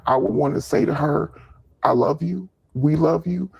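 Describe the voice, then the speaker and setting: Male news interviewee, higher-pitched for a man, extremely husky. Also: normal speed, a little mellow.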